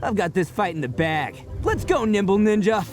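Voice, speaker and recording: An actor playing a brash, boastful oni brawler in a video game, boisterous and laughing, over game music. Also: male voice, sits high, very animated, normal speed.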